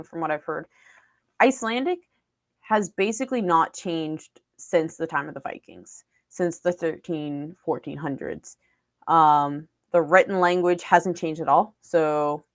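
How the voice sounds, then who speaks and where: A woman's voice: fairly low pitch, fairly bright tone, no echo, studio quality. A young woman vlogging casually about her language learning, rambling with lots of fillers and restarts. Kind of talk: casual vlog chat. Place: small room indoors. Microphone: desk mic on boom arm.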